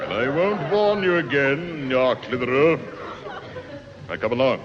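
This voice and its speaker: Guy as fussy choirmaster type, slow and self-important, muffled sixties radio comedy recording. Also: medium pitch, animated, crowd noise, lightly acted. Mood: exasperated. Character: fussy, pompous, exasperated choirmaster. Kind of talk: 1960s radio comedy acting. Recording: echoing hall, muffled old radio, faint audience, hiss.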